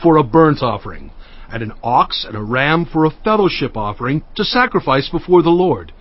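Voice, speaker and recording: A man playing a commanding prophet who gives solemn, deliberate instructions in a dramatized scripture recording. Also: on the high side, very animated, no echo, highs cut off.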